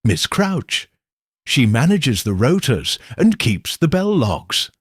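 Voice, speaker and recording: A man voicing a plain, wary church worker who answers hesitantly, in a husky voice. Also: medium pitch, very animated, slow, no room sound, studio quality, pitched above the performer's own voice, heavily acted.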